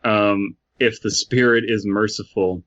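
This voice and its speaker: A man co-hosting a casual comedy podcast, reading aloud and musing in a bemused, halting way, on a slightly muffled recording. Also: lowish voice, fairly even intonation, a bit bright. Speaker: podcast co-host. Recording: slightly dull, muffled sound.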